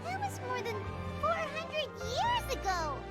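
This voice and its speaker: A woman voicing a tiny, excitable fairy girl companion in a game, bubbly and chatty, referring to herself by name. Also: extremely high pitch, slow, soft background music, exaggerated voice. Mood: chirpy.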